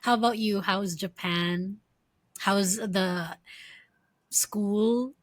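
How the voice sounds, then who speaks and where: A woman's voice: medium pitch, very slow, no echo. A woman in her early thirties from the Philippines, co-hosting a casual chat, giggling often and asking curious questions. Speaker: podcast co-host. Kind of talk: casual chat between friends.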